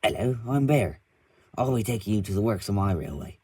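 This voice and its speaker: A man voicing a kind, polite male steam engine who asks gentle, curious questions. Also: fairly low pitch, lively intonation, brisk, breathy edge.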